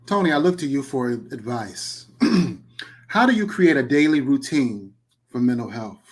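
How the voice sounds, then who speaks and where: A man with a medium-pitched voice hosts an interview. He phrases things courteously and a little formally, handing questions to his guest in a measured way. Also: animated. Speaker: podcast host, interviewer. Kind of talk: hosting an interview.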